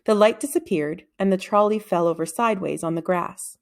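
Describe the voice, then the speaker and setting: A woman reading a children's story aloud as an expressive narrator. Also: mid-range voice, brisk.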